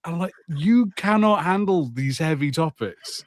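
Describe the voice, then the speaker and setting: A man co-hosting a film podcast, chatting casually and telling things in an animated way with mock impressions. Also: a little high, unhurried, no echo. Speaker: podcast co-host. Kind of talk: casual banter on a film watch-party podcast.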